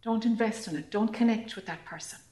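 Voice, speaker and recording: A woman leading a guided meditation calmly and gently, in short phrases with long pauses between them. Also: a little high. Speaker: meditation teacher. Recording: echoey room.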